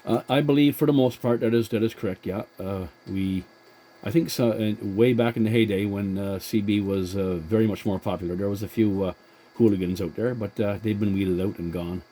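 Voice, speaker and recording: A man chatting as a CB radio operator in a courteous, halting manner. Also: lowish voice, steady tone, dark tone, a little hiss or hum. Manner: courteous, halting with many hesitations. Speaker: CB radio operator and channel host. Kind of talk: casual two-way radio chat.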